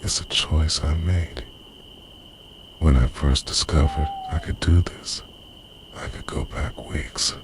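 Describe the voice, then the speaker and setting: A man's voice: a bass voice, gravelly, full character voice. A man playing an obsessively devoted time-travelling boyfriend in an intimate, earnest, half-whispered ASMR roleplay. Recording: night crickets and owl behind voice. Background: night insects, crickets and hooting owl.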